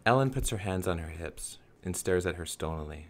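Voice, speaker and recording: A man's voice: low-pitched, animated, fairly quick. A man narrating a radio play as a storyteller who observes the characters in scripted present-tense prose. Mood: measured narration.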